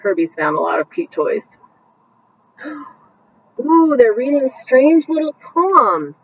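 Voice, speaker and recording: Lively woman reading a bedtime story. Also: high-pitched, recorded from a distance, phone-quality sound, slight background noise. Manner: animated, pausing to point out pictures to young listeners. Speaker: teacher reading a picture book aloud. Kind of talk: bedtime story read-aloud with commentary.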